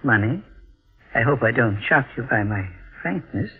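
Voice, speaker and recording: An actor playing a sly, genteel elderly blackmailer in a 1940s radio mystery, with a mannered, mock-courteous way of talking. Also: male voice, mid-range voice, lively intonation, normal speed, slightly rough. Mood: sly, needling. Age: older. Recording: muffled vintage radio broadcast, faint music.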